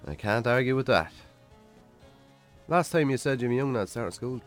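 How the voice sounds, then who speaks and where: An actor playing a weary, put-upon Irish bachelor farmer in a naturalistic radio drama, with a dry, sardonic manner. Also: male voice, medium pitch, lively intonation, normal speed, faint music behind, lightly acted. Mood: chatty, candid. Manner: wry, sardonic, grudging.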